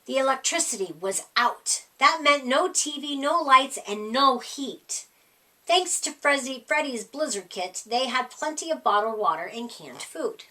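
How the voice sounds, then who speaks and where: A woman reading a children's picture book aloud as a storyteller, with animated, emphatic delivery. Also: high-pitched, unhurried, recorded from a distance. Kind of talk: expressive read-aloud storytime narration.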